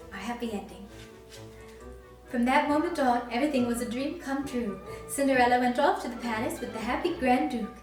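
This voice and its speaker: Echoey woman reading a children's storybook. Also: fairly high pitch. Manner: sweet, encouraging, asks listeners to join in. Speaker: children's storytime performer reading in character as a princess. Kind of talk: expressive storybook read-aloud for young children. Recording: very echoey, distant mic, faint music.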